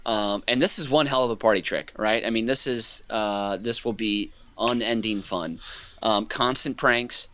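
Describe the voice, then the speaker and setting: Bright-toned rambling man on a podcast. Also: lowish voice, normal speed. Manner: rambling, self-mocking, lots of fillers and restarts. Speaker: podcast co-host. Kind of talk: casual comedic chat.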